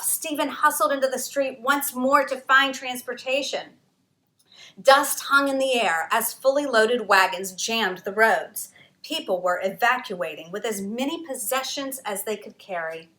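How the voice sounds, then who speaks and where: A woman author reading her own picture book aloud at storytime, expressive, with dramatic pauses. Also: fairly high pitch.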